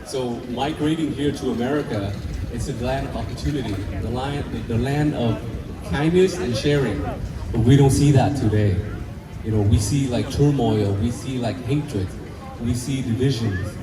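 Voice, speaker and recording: Earnest man, distant and echoey. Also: mid-range voice. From Vietnam. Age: 50s. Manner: earnest, halting with restarts. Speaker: filmmaker being interviewed. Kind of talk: earnest festival interview. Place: outdoor festival. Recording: echoey, distant mic with crowd noise.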